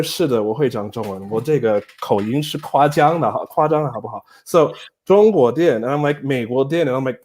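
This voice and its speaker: A man telling a lively, self-mocking story on a video call, acting out scenes, speaking through an earphone mic in a small room. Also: on the high side. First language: not Chinese. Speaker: Chinese teacher, guest.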